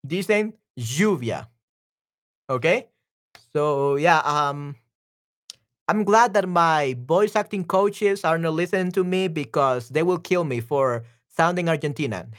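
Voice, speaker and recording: A voice actor with a Salvadoran accent giving a casual language lesson, chatty and repeating words slowly, often checking in with the listener. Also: mid-range voice. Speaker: Spanish teacher and voice actor. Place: room with some echo.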